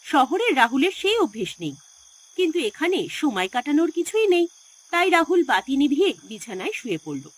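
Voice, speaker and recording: A woman's voice: high voice, sing-song, normal speed. A woman narrating a scripted horror story in a dramatic storytelling style. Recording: music behind the voice.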